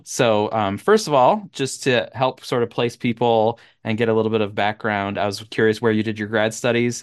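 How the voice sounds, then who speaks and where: A man hosting an interview, talking in a friendly, relaxed way with frequent chuckles. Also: on the low side. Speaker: podcast host. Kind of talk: interview conversation.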